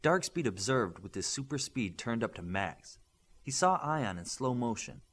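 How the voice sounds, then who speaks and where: A man's voice: mid-range voice, big pitch swings, moderate pace, fairly bright tone. Male audiobook narrator for action adventure fiction, mid-range voice with very varied intonation. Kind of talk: scripted narration of an adventure story.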